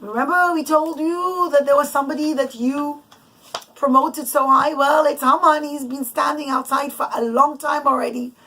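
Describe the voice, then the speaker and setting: A woman's voice: high-pitched, steady tone, normal speed, pushed and bright, rough recording. A woman playing a royal attendant in a bedtime story, explaining things in an emphatic, patient way. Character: royal attendants patiently reminding their king.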